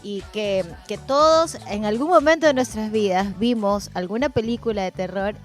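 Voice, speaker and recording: A woman's voice: medium pitch, moderate pace, faint music behind. A woman hosting a casual chat show and introducing things in a conversational way. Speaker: show host.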